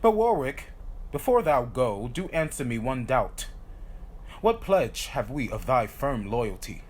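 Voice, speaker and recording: A man's voice: medium pitch, big pitch swings, measured pace, a little mellow. A man acting an offended, firm French king with declamatory, regal verse delivery in a Shakespearean audio drama.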